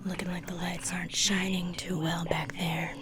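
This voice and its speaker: A woman performing an ASMR roleplay as a weary, drily unimpressed spooky doctor, whispering softly. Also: on the low side, little pitch movement, moderate pace, background noise, lightly acted. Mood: dry, measured. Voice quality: soft whisper. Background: music under the voice.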